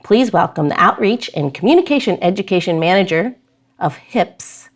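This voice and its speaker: A woman's voice: mid-range voice, lively intonation, moderate pace. A woman hosting a podcast in a chatty, informal, joking way. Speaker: podcast host.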